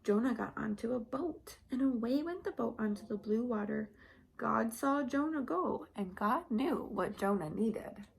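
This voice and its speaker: A woman storyteller with a fairly high voice reads to small children at a very slow pace. She speaks in simple, repeated phrases and puts questions to her young listeners, with a little creak in the voice. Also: animated, slight background noise, poor audio. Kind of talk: animated read-aloud for young children.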